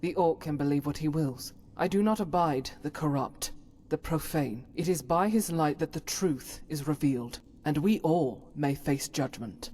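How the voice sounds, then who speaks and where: A woman voicing a solemn, zealous cleric in a fantasy video game, speaking in a formal, measured, pious way. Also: low voice, warm tone, slight background noise, natural voice. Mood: righteous, solemn. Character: solemn, zealous female holy cleric, unshakably devout. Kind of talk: video game character dialogue.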